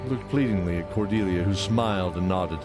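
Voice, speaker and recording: A man's voice: deep voice, a little fast, music in the background. A man giving scripted, dramatic narration for a fantasy audio drama.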